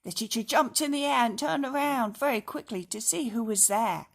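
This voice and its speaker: A woman reading a children's storybook aloud in an expressive way, speaking directly to young listeners. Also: a little high, normal speed, gravelly. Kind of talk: storybook read-aloud with casual asides.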